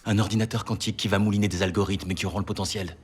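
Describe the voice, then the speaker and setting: A man's voice: fairly low pitch, fairly even intonation, rapid-fire, background noise, natural voice. An actor dubbing a rambling, agitated man who lectures about a paradox in a series. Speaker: character in a dubbed series. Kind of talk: television dub acting.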